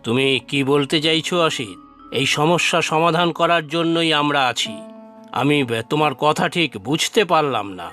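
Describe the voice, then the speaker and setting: Principled, bright-voiced village chief. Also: male voice, a little high, moderate pace, soft background music. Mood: concerned. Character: principled village council chief, calm, fatherly, caring man. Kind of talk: Bengali cartoon dialogue.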